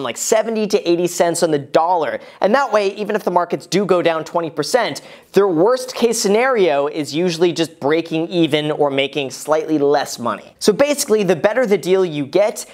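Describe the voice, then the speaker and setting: A man in his twenties explaining things to camera as a video host, emphatically stressing his key words. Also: high-pitched. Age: 20s. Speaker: real estate video host. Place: small furnished indoor room. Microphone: lapel mic.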